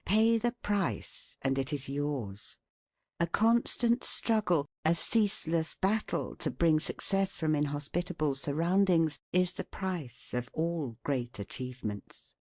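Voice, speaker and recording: An audiobook narrator reading scripted prose in a measured, formal and deliberate way. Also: mid-range voice, darkish tone, dry recording, clean studio sound.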